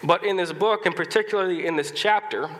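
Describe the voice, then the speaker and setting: A male pastor preaching a sermon with a stuffy nose from a cold, talking conversationally with many restarts, coughs and throat-clearing. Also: high-pitched, brisk, fairly bright tone, slight background noise. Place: church sanctuary. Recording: long reverb of a church hall.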